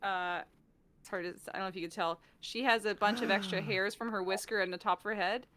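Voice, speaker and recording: A woman's voice: medium pitch, steady tone, quick pace, sharp tone, a little hiss or hum. A woman, a guest voice actor, chatting casually in a playful, bantering way. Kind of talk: casual chat.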